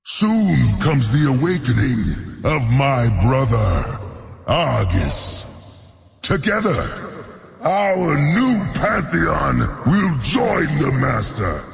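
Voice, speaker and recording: An actor playing a vengeful, grandiose corrupted titan in a video game, declaiming slowly and with menace. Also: male voice, a little high, very animated, vocal fry, exaggerated voice. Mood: triumphant menace. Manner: slow, portentous, menacing declamation. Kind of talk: video game villain monologue. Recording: long cavernous reverb over music.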